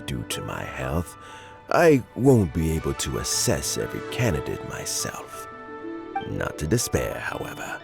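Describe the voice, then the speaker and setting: An actor playing a genial, dignified older statesman in a fantasy game, speaking in courteous, measured, formal phrases. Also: sits low, creaky, full character voice. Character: genial, dignified aging male statesman, frail but sharp. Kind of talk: fantasy video game voice acting. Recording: game music behind the voice. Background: background music.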